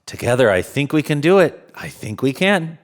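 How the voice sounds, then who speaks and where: Man playing jolly, animated old Santa Claus. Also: normal speed. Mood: jolly, delighted. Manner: booming ho-ho-ho chuckles, kindly and animated. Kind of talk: children's storybook read-aloud.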